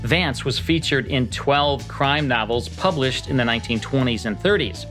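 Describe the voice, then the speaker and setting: An American man with a bright, forward voice hosts in a scripted narration style. His pitch sits mid-range, and faint music plays behind him. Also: moderate pace. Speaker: podcast host.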